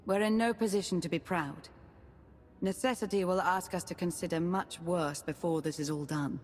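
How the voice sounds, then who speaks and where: An actress playing a cool, pragmatic advisor in a video game, speaking in a composed, dry, measured way. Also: female voice, on the low side, slight background noise, natural voice. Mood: grave. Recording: reverberant game hall.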